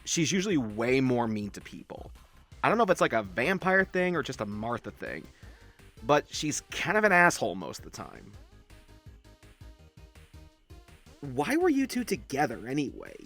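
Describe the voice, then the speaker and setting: A man's voice: mid-range voice, normal speed, faint music behind, heavily acted. A man voicing a friendly, chatty young male werewolf who talks in teasing gamer slang in an audio roleplay. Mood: casual, confiding. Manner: slangy, hushed-to-excitable, teasing.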